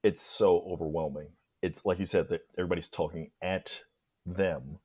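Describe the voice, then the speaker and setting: A man with a low, slightly rough voice appears as a podcast guest. He chats casually about a film, conversational and emphatic in his reactions. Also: fairly even intonation, moderate pace, dark tone, no room sound. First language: English.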